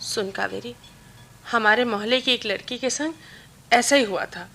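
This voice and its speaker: A woman's voice: fairly high pitch, moderate pace, vocal fry, pushed and bright, full character voice. A woman actor playing an anxious, lovelorn young woman confiding in her friend in a Hindi radio play, with an Indian accent. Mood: worried, confiding. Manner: fretful, hesitant, confiding.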